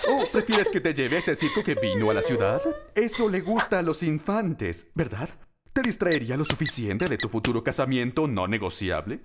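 A man's voice: on the high side, sing-song, moderate pace, very noisy. A man playing a flippant, pompous demon king father in a Latin American Spanish cartoon fan dub, theatrical and breezily dismissive. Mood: mocking, callous. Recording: music behind the voice.

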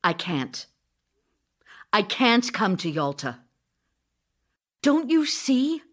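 A woman's voice: mid-range voice, big pitch swings, a bit bright, exaggerated voice. A woman playing an ardent, theatrical stage actress in love, speaking emotionally in an audio drama. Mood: hurt, pleading. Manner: emotional, theatrical, deliberate phrasing. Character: Olga Knipper, ardent Russian stage actress and lover. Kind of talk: audio drama acting, letters read as speech.

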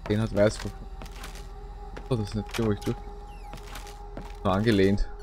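A man's voice: on the low side, level tone, moderate pace, a little mellow, faint music behind. A man giving casual let's play commentary in short, fragmentary remarks. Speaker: let's player. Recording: noisy game ambience behind. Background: horror game ambience.

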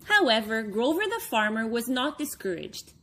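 A woman in her thirties reading a children's storybook aloud, expressively and with deliberate phrasing for young listeners. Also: on the high side, very animated, a bit bright. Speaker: story time reader for children.

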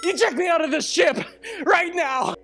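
A woman's voice: very high voice, normal speed, vocal fry, a bit bright, soft background music, full character voice. A woman playing a panicked, cursing rookie space captain in gut distress, groaning through a staged sci-fi comedy drama. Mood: desperate, furious. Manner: groaning, strained, outraged. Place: theatre stage, live performance. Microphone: stand microphone.

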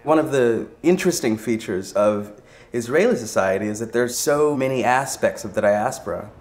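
A man narrating a documentary in a measured, reflective and articulate way. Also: mid-range voice, lively intonation. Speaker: visual artist presenting the film.

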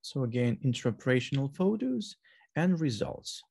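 A man's voice: medium pitch, sing-song, normal speed, vocal fry, darkish tone, husky. A man giving a surgical presentation over a video call, hesitant, with many fillers and restarts. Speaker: surgeon presenting at a webinar. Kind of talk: academic presentation.

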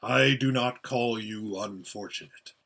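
A man voicing an unseen great lion in a storybook, solemn and measured, with a large deep voice. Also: creaky, sharp tone, heavily acted. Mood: calm, solemn.

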